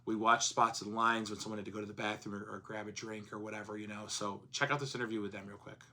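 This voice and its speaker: A man talks to his viewers in a loose, rambling vlog voice, still hoarse from a cold. His tone is bright and forceful, sitting a little low in pitch. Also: fairly even intonation, quick pace. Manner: rambling, chatty, frequent fillers. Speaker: comics vlogger. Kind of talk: casual vlog narration.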